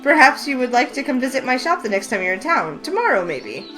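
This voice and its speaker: A woman's voice: sits high, brisk. A woman voicing a wise, teasing older witch who runs a shop, in a game read-through over faint music. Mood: knowing. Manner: teasing, knowing and calm. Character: wise sly older female witch shopkeeper. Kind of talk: voice acting a visual novel.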